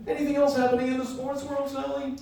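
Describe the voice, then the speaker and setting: A man's voice: very high-pitched, monotone, quick pace, vocal fry, mellow, slight background noise. A man co-hosting a live comedy radio show on stage, reading scripted mock announcements. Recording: echoey hall stage, distant mic.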